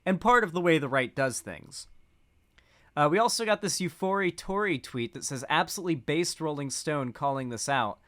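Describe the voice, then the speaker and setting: Dude rambling through a commentary video, smooth clear voice, keeps restarting. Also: male voice, fairly high pitch, animated, moderate pace, fairly bright tone. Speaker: political commentator. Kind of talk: casual commentary.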